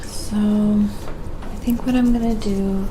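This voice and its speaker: A woman hosting a casual live painting stream, talking quietly to viewers and thinking aloud step by step as she works. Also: medium pitch, fairly even intonation, slow pace, noisy background.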